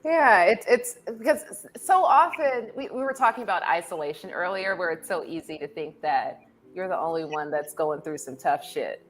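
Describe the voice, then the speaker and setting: A woman's voice: lowish voice, expressive, moderate pace, slight background noise. A woman speaking as a podcast guest in a reflective discussion, thoughtful and often repeating words as she works out her point.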